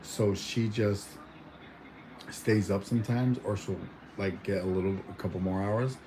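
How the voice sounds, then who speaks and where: A man's voice: fairly low pitch, steady tone, measured pace, background noise. A young man born in Mexico chatting casually at home, rambling with lots of fillers and restarts. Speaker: vlogger. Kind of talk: casual chat.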